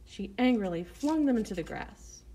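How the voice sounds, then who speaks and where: Mellow woman narrating a children's story. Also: fairly high pitch, animated, normal speed.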